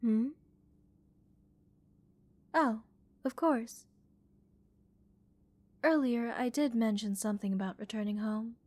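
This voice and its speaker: Woman voicing a calm, curious alien scientist, higher-pitched. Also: dry recording. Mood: thoughtful, measured. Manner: clinical, measured, thoughtful, gently reassuring. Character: curious, calm female alien scientist examining a human specimen. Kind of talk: relaxing ASMR roleplay.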